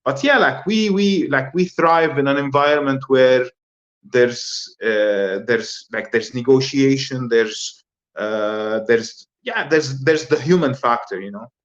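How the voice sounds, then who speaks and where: Man from Lebanon, halting podcast chat. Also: mid-range voice. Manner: relaxed, hesitant, many fillers and repeated words. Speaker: guest. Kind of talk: casual interview chat. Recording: slightly dull lo-fi audio.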